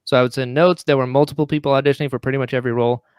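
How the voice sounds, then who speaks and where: A chatty, enthusiastic man talks casually as a guest. His voice sits a little high and is smooth and clear. The sound is dry and close, and he tends to chuckle between thoughts. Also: steady tone, racing, no room sound. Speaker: guest, audio drama writer and actor. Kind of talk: casual interview chat.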